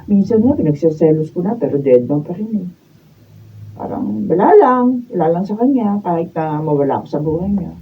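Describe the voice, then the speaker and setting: A woman's voice: on the low side, big pitch swings, fairly quick, breathy edge, heavily acted. A woman with a Filipino accent acting a neglected, unhappy wife falling for a friend in a radio drama. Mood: aggrieved, chatty. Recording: dull raw video, distant mic, faint noise.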